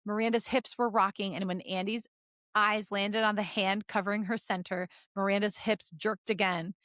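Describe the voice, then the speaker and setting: A woman's voice: medium pitch, fairly even intonation, moderate pace, a bit bright, no room sound, studio quality. A woman podcast host reading a story aloud in a playful manner, slipping into joking banter and asides. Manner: playful, breaks off for jokey asides.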